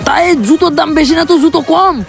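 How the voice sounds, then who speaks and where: A man's voice: very high-pitched, fairly even intonation, rapid-fire, gravelly, soft background music. A man playing a touchy, thrifty young man in a comedy skit, fussy and aggrieved. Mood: defensive. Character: touchy, thrifty young man fussing over his new shoes.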